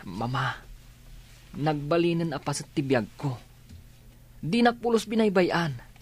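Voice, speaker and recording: Earnest, pleading young son. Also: male voice, on the high side, sing-song, measured pace, breathy edge, heavily acted. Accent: Filipino (Ilocano). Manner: pleading, respectful. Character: earnest young son defending his beloved nanny. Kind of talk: Ilocano radio drama acting.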